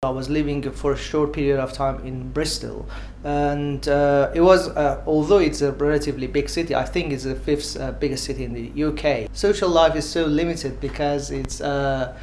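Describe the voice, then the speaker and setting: A man in his forties with an Iranian accent answering interview questions, pausing often on filler sounds. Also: fairly high pitch, fairly even intonation, a little mellow, slight background noise. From Iran. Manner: hesitant, many filled pauses. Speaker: dentist interviewee.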